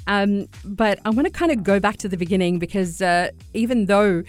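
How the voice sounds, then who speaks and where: A woman's voice: medium pitch, brisk, soft background music. A woman hosting a radio interview show, introducing and questioning a guest in an enthusiastic way with some fillers. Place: radio studio.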